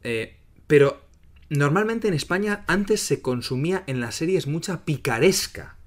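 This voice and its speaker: A man's voice: on the high side, animated, measured pace. A middle-aged man from Spain hosting a casual podcast and explaining ideas for learners, often ending points with a questioning tag. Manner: explains terms clearly, often asks "¿no?". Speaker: podcast host and Spanish teacher.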